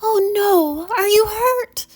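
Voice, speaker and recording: A woman's voice: very high-pitched, expressive, slow pace, pitched above the performer's own voice, full character voice. A woman voicing a kind, curious little girl in a children's storybook, caring and eager to help. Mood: worried.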